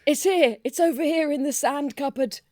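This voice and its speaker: Awestruck humble onlooker, played by a woman, high-pitched, theatrical, close and dry, for comedy roleplay. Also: normal speed, a little mellow, no room sound, natural voice. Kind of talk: improvised audio comedy roleplay.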